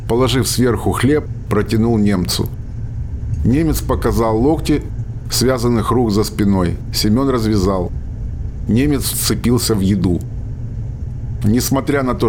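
A man's voice: lowish voice, darkish tone, a little hiss or hum. A man reading scripted dramatic narration as the narrator of a radio play. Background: music.

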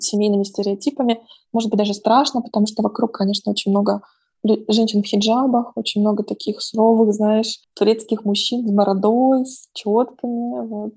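A woman's voice: on the high side, creaky, mellow. A woman, a psychologist chatting casually and reflectively, thinking aloud with frequent fillers. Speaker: podcast host, psychologist. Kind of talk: casual conversational chat. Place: home.

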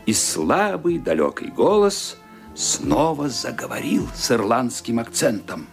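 A man's voice: medium pitch, wildly swooping pitch, unhurried, vocal fry, faint music behind. A man delivering dramatic scripted narration as the narrator of a radio play.

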